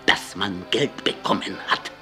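Male sailor for radio drama: low, slow-spoken, blunt and suspicious, bossing his shipmate. Music underneath. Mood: bossy, exasperated. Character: gruff, distrustful sailor, bossy and plain-spoken. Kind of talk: German radio play acting.

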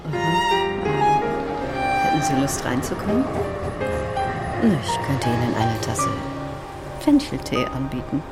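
A woman's voice: rumbling low, very animated, slow pace, pauses often, heavily acted. A woman playing a dry, teasing pharmacist and mother in a German children's radio play. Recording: voice under loud music.

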